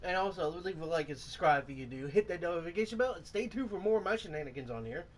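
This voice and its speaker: A man's voice: on the high side, lively intonation, fast, slight background noise. A man giving casual gameplay commentary as the host of a gaming video. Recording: music behind the voice.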